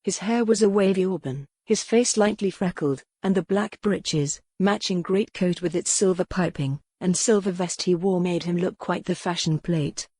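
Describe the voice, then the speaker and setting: A woman narrating a novel in a measured, even storytelling manner on a close mic. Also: lowish voice, slightly rough, dry recording. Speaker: audiobook narrator. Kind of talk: scripted narration.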